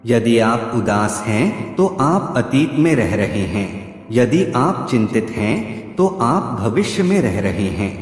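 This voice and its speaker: Echoey, expressive male quote narrator. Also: medium pitch, slow. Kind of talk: scripted motivational quote narration. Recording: echoey hall reverb with background music. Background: music.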